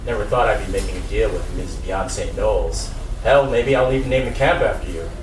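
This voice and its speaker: A man's voice: lowish voice, a little fast, natural voice. A man playing a flirtatious, sleazy investor with a wry, suggestive manner at a sitcom table read. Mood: slyly pleased. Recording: echoey room, distant mic, noisy.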